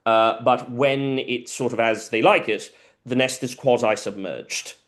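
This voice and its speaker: A man's voice: medium pitch, steady tone, measured pace, fairly bright tone. A man from South America, a native Spanish speaker, answering audience questions at a seminar in an informal, detailed way. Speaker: guest lecturer.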